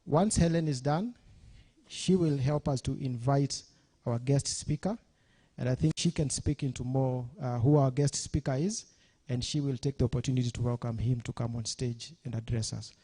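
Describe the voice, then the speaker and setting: Mellow Kenyan man hosting an event. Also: mid-range voice, moderate pace, breathy edge. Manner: chatty, engaging the audience. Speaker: master of ceremonies. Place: launch event venue.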